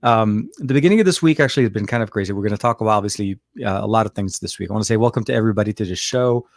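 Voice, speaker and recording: A man's voice: lowish voice, very fast, creaky, dry recording, studio quality. A man from Lebanon co-hosting a casual tech show, playful and chatty with lots of fillers. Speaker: co-host.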